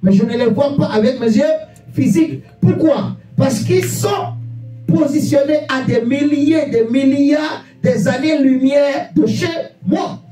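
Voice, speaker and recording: A man's voice: very high voice, a little slow, dark tone. A man preaching a sermon in an emphatic, exhorting manner, repeating phrases and putting rhetorical questions to his listeners. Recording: echoey room, distant mic, faint music.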